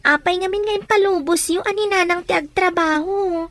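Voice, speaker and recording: High-pitched, earnest young Ilocano daughter. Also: female voice, normal speed, a bit bright, no room sound. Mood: earnest, concerned. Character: worried young daughter pleading for her siblings. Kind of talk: Ilocano radio drama acting.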